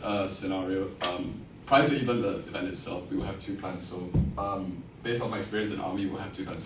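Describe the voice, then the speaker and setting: A fast-talking young man from Singapore with a lowish voice and a partly British Singaporean accent. He speaks with dry, self-mocking humour. He is picked up from a distance in an echoey hall with audible noise. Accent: Singaporean-tinged British English. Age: 20s. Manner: wry, self-mocking, many fillers when answering. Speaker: candidate giving a campaign speech. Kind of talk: joking election speech, then answering questions. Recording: echoey room, from afar, noisy.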